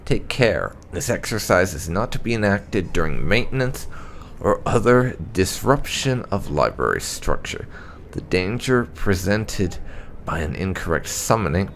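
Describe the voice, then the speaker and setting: Creaky, low-key man reading fantasy lore. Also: a bit bright, slight background noise. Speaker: gaming YouTuber reading in-game texts aloud. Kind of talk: dramatic read-aloud of fantasy game documents.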